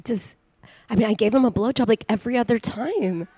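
A woman's voice: a little high, brisk, dark tone, husky. A woman playing a credulous, blunt, slightly dim wife in an improvised comedy radio play, earnest and plain-spoken. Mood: puzzled.

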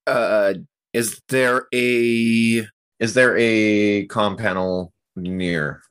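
A man playing a tabletop role-playing game, asking careful questions and pausing as he thinks through his move. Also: on the low side, fairly even intonation, a bit bright, clear, resonant tone. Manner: hesitant, methodical questioning. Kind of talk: casual tabletop game play.

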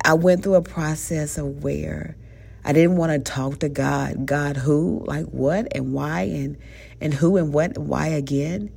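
Low gravelly-voiced woman, stuffy nose. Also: mellow. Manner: earnest, heartfelt, conversational. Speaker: podcast host. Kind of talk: faith podcast talk.

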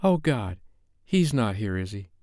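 A man's voice: on the low side, sing-song, a little slow, darkish tone, no echo, studio quality, pitched above the performer's own voice. A man voicing a bubbly, affectionate, outspoken woman friend in an audiobook. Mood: anxious, sharp.

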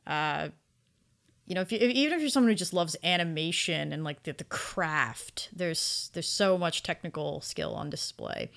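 A woman's voice: sits low, expressive, moderate pace, vocal fry, fairly bright tone. A woman co-hosting a casual podcast discussion, effusive and emphatic, repeating words for stress. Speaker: podcast co-host reviewing anime.

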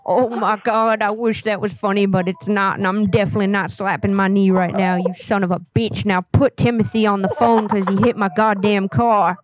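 A woman's voice: medium pitch, level tone, normal speed, hardly any pauses, natural voice. A woman voicing a foul-mouthed, angry old woman prank caller who rambles threats and curses. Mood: furious. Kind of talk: prank phone call.

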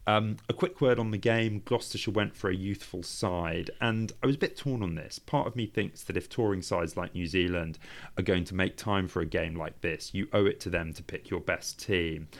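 A man's voice: low voice, moderate pace, gravelly. A man, co-hosting a cricket podcast in a conversational style.

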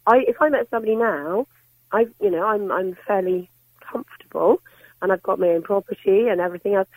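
A middle-aged woman calling in to a radio show, with a slightly croaky voice, putting her opinion firmly. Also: medium pitch, a little fast, a little mellow, no room sound, poor audio. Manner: firm, opinionated, talks in long runs. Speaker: caller. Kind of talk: radio phone-in call.

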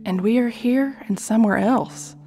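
A woman playing a bewildered young girl in an audiobook, weak, soft and uncertain as she questions. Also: on the high side, moderate pace, faint music behind, lightly acted. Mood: wondering. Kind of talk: dramatized audiobook dialogue.

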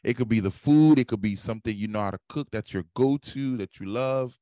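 A man's voice: mid-range voice, fairly quick, dark tone, no room sound, clean studio sound. A man hosting a podcast interview, explaining things at length in a long-winded way. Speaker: podcast host.

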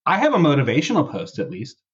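A man with a husky voice hosting a casual podcast, chatting and reading posts aloud in a wry, sarcastic way. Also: a little high, huge pitch swings, fast talker, dull audio. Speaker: podcast host.

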